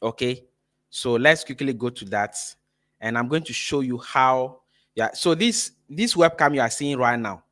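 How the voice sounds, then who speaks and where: A man's voice: medium pitch, lively intonation, unhurried, fairly bright tone. A man from Ghana hosting a live-streamed workshop for teachers, speaking energetically through a phone mic and often checking in with "right?". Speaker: workshop host and teacher trainer. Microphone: phone camera mic.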